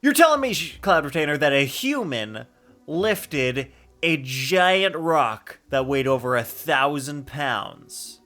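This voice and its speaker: A man's voice: on the high side, sing-song, slow pace, pushed and bright, faint music behind. A man giving casual, playful live commentary as a game streamer, thinking aloud in a rambling way.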